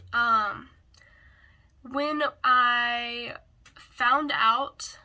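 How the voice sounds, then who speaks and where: A woman who is hoarse and raspy from a cold gives a casual book review, often hesitantly searching for words. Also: on the high side, pushed and bright.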